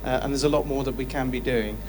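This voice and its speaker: A man with a Southern English accent making an unscripted comment from an audience, halting and rephrasing as he goes. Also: mid-range voice, fairly even intonation. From South of England. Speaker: audience member, non-profit founder. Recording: faint hum and room noise.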